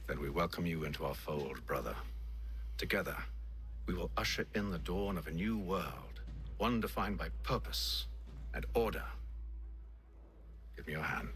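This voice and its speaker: An actor playing a commanding middle-aged leader of a secret order in a video game, speaking formally and solemnly. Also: male voice, sits low, expressive, normal speed, pauses often, fairly bright tone, slight background noise. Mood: ceremonial. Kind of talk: video game cutscene acting.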